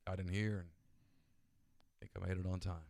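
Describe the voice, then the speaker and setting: A man co-hosting a podcast from a small studio, reading sponsor spots in a relaxed, conversational way with occasional fillers. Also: low voice, brisk, creaky, dry recording. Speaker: podcast co-host. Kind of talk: reading sponsor ads and casual chat.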